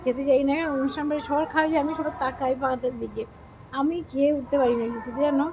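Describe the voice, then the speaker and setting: A middle-aged woman talks to her viewers in a friendly, easygoing way while she eats. Her voice sits high. Clear background noise runs under the home recording. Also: steady tone, fast. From Bengal. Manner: chatty, addresses viewers as friends. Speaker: vlogger. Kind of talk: casual eating vlog chat.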